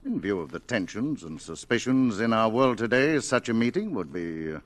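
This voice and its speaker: A cautious government envoy in a classic radio drama. His low voice moves in wide, animated swings. He is formal, courteous and diplomatic. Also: moderate pace. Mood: polite, uneasy.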